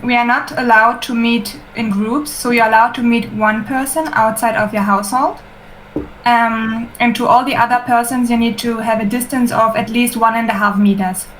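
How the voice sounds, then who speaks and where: A woman's voice: on the high side, steady tone, moderate pace, fairly bright tone, poor audio. Woman from Germany, faint background noise. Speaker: interviewee. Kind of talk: video call interview.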